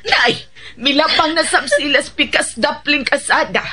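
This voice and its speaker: An actress with a Filipino accent playing a gentle, fussing nanny in a radio drama, coaxing and full of interjections. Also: female voice, high voice, normal speed.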